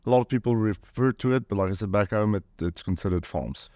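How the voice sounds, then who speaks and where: A man in his thirties with a South African accent, a hunter telling his story as a podcast guest in a relaxed, rambling way. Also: fairly low pitch, quick pace, no room sound. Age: 30s. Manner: rambling, many fillers and "pretty much".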